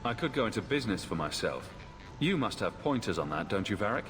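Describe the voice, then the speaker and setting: An actor playing a confident young adventurer hero in a video game, speaking in a dry, teasing, protective way. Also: male voice, lowish voice, big pitch swings, quick pace, echoey room, music in the background. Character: confident, dryly affectionate young human male adventurer hero.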